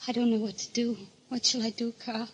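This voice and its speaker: A woman's voice: on the high side, little pitch movement, fairly quick, breathy edge. An actress playing an anxious, fragile wife who doubts her sanity, with hesitant, pleading delivery in a 1940s radio thriller style. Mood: panicked, pleading. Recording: noisy vintage radio recording.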